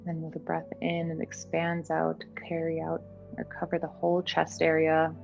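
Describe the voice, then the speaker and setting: A woman guiding a meditation in a gentle, soothing, unhurried manner. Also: deep voice, monotone, creaky, muffled recording. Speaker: meditation guide. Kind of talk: guided meditation. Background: background music.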